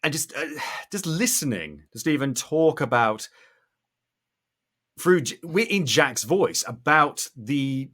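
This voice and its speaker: A man hosting a podcast in an effusive, conversational way, often halting as he searches for the right words. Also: on the high side, very animated, a bit bright. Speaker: podcast host. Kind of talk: enthusiastic conversational interviewing.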